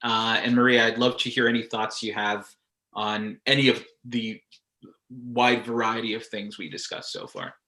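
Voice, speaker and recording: A man's voice: medium pitch, little pitch movement, pushed and bright. Articulate male moderator in an echoey room. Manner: articulate, quick, inviting. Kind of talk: panel discussion.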